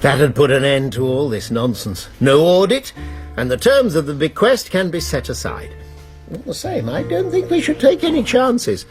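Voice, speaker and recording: Urbane college master, high-pitched. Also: male voice, wildly swooping pitch, normal speed, faint music behind. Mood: urbane, reassuring. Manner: urbane, explanatory, polite. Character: genial, authoritative master of a Cambridge college. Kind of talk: British radio drama acting.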